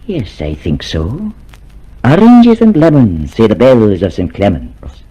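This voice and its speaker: Grave husky conspirator leader. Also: male voice, on the low side, huge pitch swings, mellow, exaggerated voice, poor audio. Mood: reciting. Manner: solemn, deliberate, interrogating. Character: grave, commanding male leader of a secret underground. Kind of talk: old radio drama acting.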